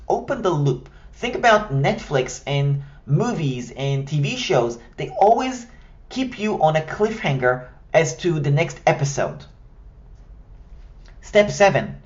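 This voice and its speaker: A man's voice: on the high side, dull audio. A man from Israel, a native Hebrew speaker with an Israeli accent, giving a scripted, step-by-step tutorial on building an online course. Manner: structured, step-by-step, direct.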